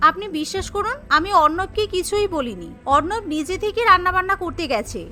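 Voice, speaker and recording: A woman's voice: very high voice, lively intonation, fairly quick, pushed and bright, exaggerated voice. A woman voicing a polite but resentful young newlywed wife in a cartoon, earnest and complaining. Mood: pleading. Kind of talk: animated family story dialogue.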